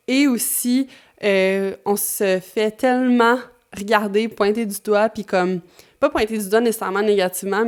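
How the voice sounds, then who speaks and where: Chatty Québécoise YouTuber. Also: female voice, medium pitch, clear, resonant tone. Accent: Québécois French. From Québec. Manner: chatty, reflective, frequent fillers. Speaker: YouTube host. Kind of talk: talking-to-camera commentary.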